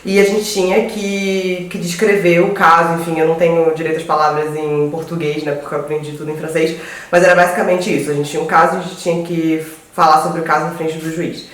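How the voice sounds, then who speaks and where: A Brazilian woman in her thirties telling a story to friends, halting and often repeating words as she searches for phrasing. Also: deep voice, level tone, recorded from a distance. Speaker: guest. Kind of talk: casual storytelling among friends. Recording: very echoey room, voice from afar.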